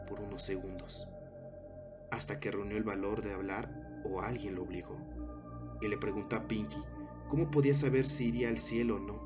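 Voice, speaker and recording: A man narrating a scripted horror story in a measured, dramatic storytelling manner. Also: fairly low pitch. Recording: dull sound under loud music bed. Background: loud background music.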